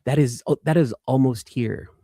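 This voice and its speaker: Guy hosting a geek livestream, kinda gravelly voice, dry close sound, chatty and into it, repeats himself a lot. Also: medium pitch, normal speed, dark tone, no room sound. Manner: enthusiastic, informal geek-fan talk, often repeats phrases for emphasis. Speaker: livestream host. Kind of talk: casual livestream chat with viewers.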